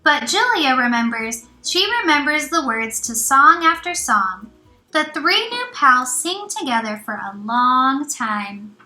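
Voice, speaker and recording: Female teacher for storybook read-aloud: high, animated, unhurried, child-directed, roomy with music underneath. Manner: expressive, child-directed, with encouraging asides. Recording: roomy echo, faint music.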